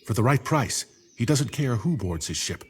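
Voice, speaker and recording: An actor playing a wise, loyal, cautious druid in a fantasy game, with a husky voice and a calm, formal advisory manner. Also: male voice, medium pitch, normal speed, soft background music. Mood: cautious, advising. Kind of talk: fantasy game cutscene acting.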